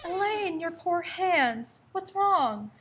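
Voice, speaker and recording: A woman plays a reassuring friend in an old radio soap advert. Her voice is high. She delivers an earnest, scripted sales pitch. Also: animated, slow, heavy background noise, heavily acted.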